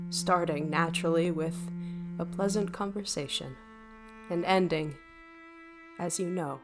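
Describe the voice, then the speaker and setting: An actress playing a thoughtful, gentle starship mind in an audio drama, with measured, wistful, formal phrasing. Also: female voice, lowish voice. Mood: wistful, solemn. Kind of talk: audio drama narration and dialogue. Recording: music behind the voice.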